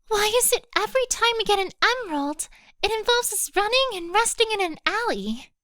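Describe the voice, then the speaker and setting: A woman playing a wry, weary, secretive teenage girl in an audio drama, with expressive delivery. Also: very high-pitched, normal speed, dry recording, heavily acted.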